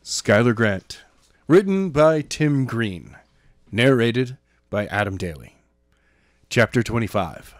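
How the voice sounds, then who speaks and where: A man's voice: medium pitch, animated, takes it slowly, long pauses, no echo, clean studio sound. A man reading a story aloud as an amateur narrator, now and then stumbling and rereading a phrase. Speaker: audiobook narrator.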